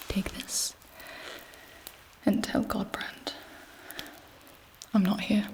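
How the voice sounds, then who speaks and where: A woman voicing an imperious, scheming vampire queen in a breathy ASMR roleplay, with a haughty and menacing manner. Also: medium pitch, a little mellow, poor audio. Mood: disdainful, commanding. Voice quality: breathy whisper. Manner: slow, deliberate, haughty, menacing. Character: imperious, scheming female vampire queen, coolly ambitious and contemptuous. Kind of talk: whispered ASMR roleplay, scripted character monologue.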